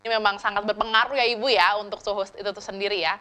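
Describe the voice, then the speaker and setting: Bright-voiced woman host. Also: medium pitch, racing. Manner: lively, peppered with small tag words. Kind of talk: chatty TV talk-show hosting.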